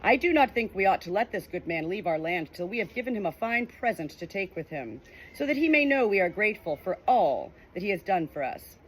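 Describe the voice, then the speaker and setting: A solemn, formal big baboon from a storybook, read by a woman. The speech is grateful and measured in wording, with lively pitch movement. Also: slight background noise, lightly acted, low-quality recording. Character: biggest baboon, solemn, grateful elder of monkeys.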